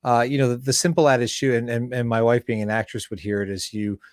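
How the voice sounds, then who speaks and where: A Canadian man chatting casually as an interviewer, wordy and halting, often breaking off and restarting his sentences. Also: medium pitch, fairly even intonation, no room sound. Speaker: interviewer and filmmaker. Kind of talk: casual podcast interview chat.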